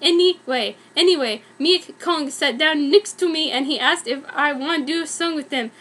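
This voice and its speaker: A woman's voice: sits high, normal speed, a bit bright. A young woman giving a dramatic, mock-earnest comic reading of a fan story, misspellings and all. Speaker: fan fiction reader.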